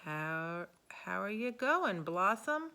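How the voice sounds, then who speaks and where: A tender mother koala from a picture book, voiced by a woman reading aloud. She speaks slowly and affectionately, drawing out her words with love. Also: mid-range voice, very animated, pushed and bright, clear, resonant tone, heavily acted. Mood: tender, loving. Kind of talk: storybook read-aloud.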